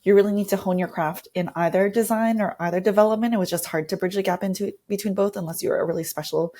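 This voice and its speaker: A woman's voice: medium pitch, fairly even intonation, fast, warm tone. A woman with a mid-range voice talks as a guest in an interview. She explains her working process conversationally, with an enthusiastic manner. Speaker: interview guest, design lead.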